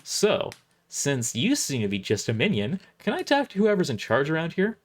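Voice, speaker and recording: Man playing brash Lady Mordred, very lively delivery. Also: fairly high pitch, moderate pace, voice pushed up. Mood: sarcastic. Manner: blunt, cheeky, demanding. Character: brash, sarcastic injured woman crusader, Lady Mordred.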